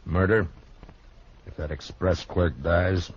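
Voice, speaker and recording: An actor playing a stern, laconic frontier marshal with quiet authority in a 1950s radio western. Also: male voice, deep voice, steady tone, slow pace, breathy edge, heavily acted. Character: stern, steady frontier marshal, adult man. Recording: muffled noisy 1950s radio recording.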